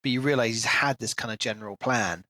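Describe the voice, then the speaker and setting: Man, bright forward voice, mid pitch, very dry sound, analytical, conversational podcast co-host. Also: fast talker, no echo. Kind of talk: casual book discussion.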